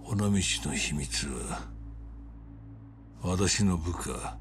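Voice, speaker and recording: An actor playing an older, solemn, commanding crime patriarch in a game drama, speaking gravely and deliberately. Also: male voice, low-pitched, a little hiss or hum. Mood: cold, solemn. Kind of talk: cinematic game drama acting.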